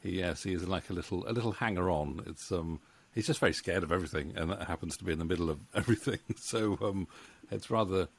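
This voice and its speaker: A man's voice: low voice, quick pace, slightly rough. A middle-aged man hosting a casual interview, hesitant and rambling, speaking close to a microphone at home. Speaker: interview host and co-writer. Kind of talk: casual video-call interview. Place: book-lined home room. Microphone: studio microphone on boom arm, close.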